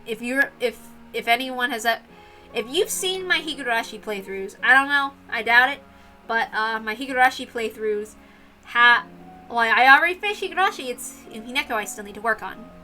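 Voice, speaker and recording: A woman's voice: fairly high pitch, animated, normal speed, pushed and bright, faint music behind, low-quality recording. A woman narrating a visual novel as a playthrough host, with casual asides.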